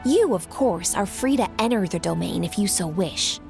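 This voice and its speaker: A woman's voice: medium pitch, animated, moderate pace, a little mellow, faint music behind. An actress playing a poised, decisive young female commander in a video game, speaking formally and with encouragement. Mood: formal, assured. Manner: formal, crisp orders, encouraging.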